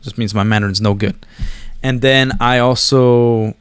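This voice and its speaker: A man's voice: mid-range voice, fairly even intonation, normal speed, smooth, no echo. A man who learned English after other languages, chatting casually to viewers in a slangy, meandering, self-deprecating way. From born abroad, moved to the US as a child. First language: a language other than English. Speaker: vlogger talking to viewers. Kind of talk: casual rambling chat to camera.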